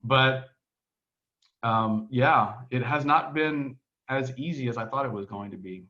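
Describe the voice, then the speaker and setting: A man's voice: medium pitch, unhurried, highs cut off. A man from Texas with a Texan accent, a podcast guest telling his story in a casual, rambling way with many restarts and fillers. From Texas, United States. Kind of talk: casual conversational interview. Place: home in Barcelona, on a remote call.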